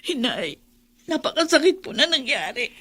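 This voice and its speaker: A sorrowful young daughter in a Filipino radio drama. Her voice is high and plaintive, melodramatic, recorded close and dry. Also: sing-song, moderate pace, bright, forceful tone, no room sound, full character voice. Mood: anguished. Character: grieving, despairing young daughter.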